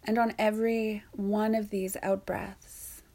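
A woman softly guiding a yoga nidra meditation in a soothing, breathy way, leaving long pauses. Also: mid-range voice, little pitch movement. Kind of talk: guided meditation narration.

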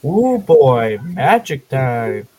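A man's voice: mid-range voice, very animated, very slow, warm tone. A male tabletop game player chatting casually during play.

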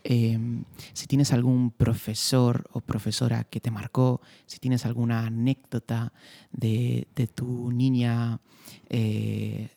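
A man from hosts a relaxed podcast interview. His voice is gravelly and dark. He asks his questions in an easygoing, unhurried way. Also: medium pitch. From Spain. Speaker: podcast host. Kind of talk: casual interview chat.

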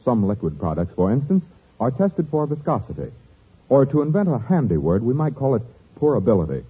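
A man's voice: mid-range voice, big pitch swings, brisk, husky. A man announcing a scripted 1940s radio advertisement. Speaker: commercial announcer. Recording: phone-like vintage radio recording.

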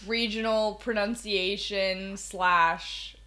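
A young woman from Maryland co-hosts a casual podcast, relaxed and agreeable, laughing easily. Her voice is bright, at a middle pitch. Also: takes it slowly. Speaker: podcast co-host.

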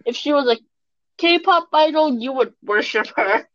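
A woman's voice: sits high, lively intonation, measured pace, a bit bright, dry recording. Woman, casual chat, emphatic and gushing, dry close sound, slightly muffled.

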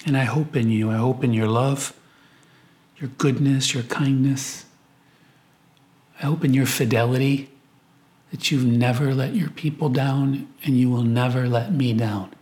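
A man speaking as a priest, guiding a meditation with prayer and scripture in an unhurried, reflective manner. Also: mid-range voice, steady tone, darkish tone. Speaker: priest leading guided prayer. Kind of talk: guided meditation, spoken prayer and scripture reading.

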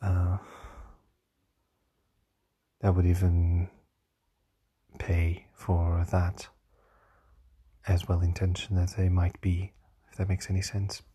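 A tired young man with a slightly hoarse voice, rambling hesitantly through a casual diary vlog with many fillers and restarts. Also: low voice, completely flat delivery, takes it slowly, long pauses, mellow. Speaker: vlogger.

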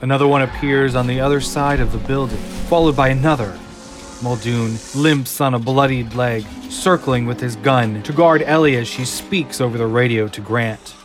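A man narrates stage directions in a mid-range voice with an even, descriptive read. Music plays clearly behind him. The tone stays steady throughout. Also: mid-range voice, moderate pace.

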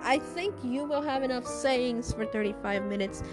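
A woman's voice: high voice, moderate pace, over music, close to an everyday voice. A woman voicing a bossy young woman who scolds in a firm, clipped way. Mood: scornful.

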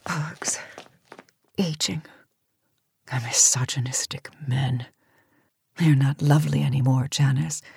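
Imperious middle-aged female tycoon: low, husky, cutting, sardonic. Campy radio-play style. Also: dry recording, studio quality, heavily acted. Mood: bitter. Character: imperious aging cosmetics queen, sharp-tongued and vain. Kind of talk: campy audio drama acting.

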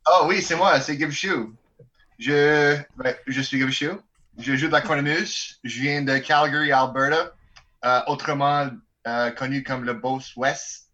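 A man with a Quebec French accent, a band's bagpiper, chatting in an interview with playful, deadpan bragging. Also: mid-range voice, measured pace, dull audio. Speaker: bagpiper in a folk band. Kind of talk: joking interview chat.

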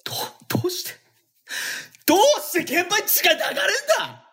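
A man voicing a shaken male police detective in a breathy, hushed tone. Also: extremely high pitch, animated, brisk, pitched above the performer's own voice, heavily acted. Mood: tense.

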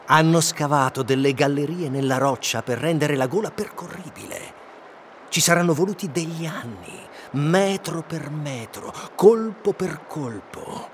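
Fairly high male voice, very varied intonation, exasperated by-the-book guide, dry, grumbling, radio play. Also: expressive, measured pace, slight background noise. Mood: explaining. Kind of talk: naturalistic audio drama dialogue.

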